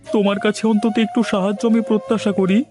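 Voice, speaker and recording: A man's voice: sits high, flat delivery, quick pace, soft background music, natural voice. A man voicing a timid, tearful male servant in a cartoon, pleading and whimpering. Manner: pleading, whimpering, addressing master as babu. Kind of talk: Bengali cartoon voice acting.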